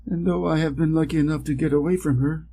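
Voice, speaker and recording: A man's voice: a little high, steady tone, fast talker, vocal fry, dark tone, a little hiss or hum, voice pushed up, rough recording. A man with an American accent voicing a rueful, put-upon old female cat in a storybook, sighing and plaintive.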